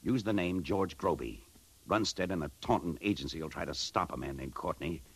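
A man's voice: low-pitched, normal speed, slightly rough. An actor playing a terse, bitter adman on the run, narrating and acting in a 1950s radio drama. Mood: urgent. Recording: noisy old radio recording.

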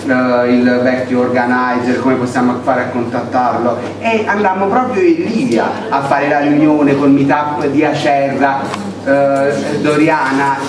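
A man's voice: fairly high pitch, noisy background. A middle-aged man speaking off the cuff to a local meeting, listing groups and restarting his sentences as he goes. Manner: gesturing while standing, thinking aloud with restarts and lists. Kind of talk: unscripted address to a meeting. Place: crowded indoor meeting room. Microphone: far camera, no mic. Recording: echoey room heard from far off, crowd murmur.

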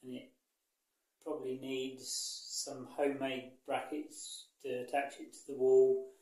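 A man speaks slowly and unhurriedly, with a dry, understated way of running things down. His voice rings around a small, echoey bathroom. He is chatting casually about a renovation. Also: medium pitch, completely flat delivery, warm tone. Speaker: home renovation vlogger. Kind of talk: casual chat. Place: small tiled bathroom of an old house.

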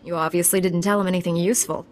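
An actress playing an earnest, resolute Jedi knight in a video game cutscene, speaking calmly and firmly. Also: female voice, lowish voice, very fast, natural voice. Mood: earnest, serious. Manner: calm, firm, earnest.

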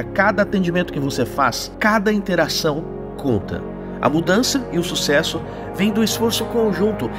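A man giving earnest, inspirational narration as a channel host, with rhetorical questions. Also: high voice. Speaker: channel host, sales and leadership speaker. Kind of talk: scripted motivational narration. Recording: voice-over over loud music. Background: loud background music.

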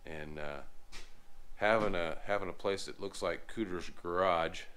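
A man in his forties giving a casual walkthrough of his business's garage shop. He talks in a relaxed, plainspoken way, with many fillers and restarts, into a camera held at arm's length. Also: low-pitched, measured pace, slight background noise. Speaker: lawn care business owner. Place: large unfinished garage workshop, indoors. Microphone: handheld camera at arm's length.

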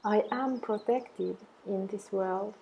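A woman guiding an affirmation meditation in a soothing, measured way, with long pauses between deliberate phrases. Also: mid-range voice, gravelly, lo-fi sound, a little hiss or hum. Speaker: meditation guide.

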